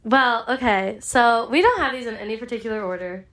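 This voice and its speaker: A woman's voice: fairly high pitch, brisk, a bit bright. A young woman with a stuffy, congested voice co-hosting a casual book club podcast, chatty and full of filler words. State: congested from a sinus infection. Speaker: podcast co-host.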